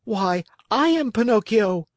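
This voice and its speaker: A woman's voice: medium pitch, expressive, a little slow, no echo, clean studio sound, voice pushed up, natural voice. A woman voicing a naive wooden puppet boy in a storybook, pleading and melodramatic with a wailing manner. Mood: eager surprise. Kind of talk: storybook character reading.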